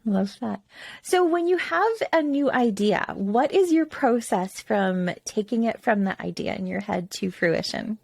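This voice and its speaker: A woman's voice: fairly high pitch, expressive, a little slow, vocal fry, few pauses, darkish tone, no echo, studio quality. A woman hosting a casual interview podcast, who breaks in often with short affirmations while asking her guest questions. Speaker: podcast host.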